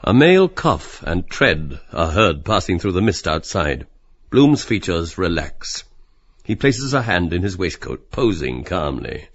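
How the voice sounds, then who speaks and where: Deep low guy narrating an audiobook play, scripted, bit muffled. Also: big pitch swings, measured pace, breathy edge. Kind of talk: scripted literary narration of stage directions.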